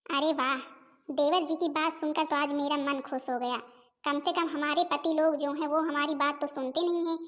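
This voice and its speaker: A woman's voice: high-pitched, fairly even intonation, normal speed, fairly bright tone. A woman voicing a young, dutiful, long-suffering daughter-in-law in a family comedy cartoon, deferential and chatty. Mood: delighted.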